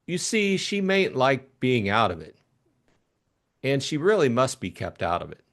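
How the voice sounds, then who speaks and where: A man voicing a breezy, eager young upper-class gentleman in an audiobook mystery, with chummy, exclamatory, slangy talk. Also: mid-range voice, wildly swooping pitch, unhurried, pitched above the performer's own voice, heavily acted. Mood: chummy, earnest.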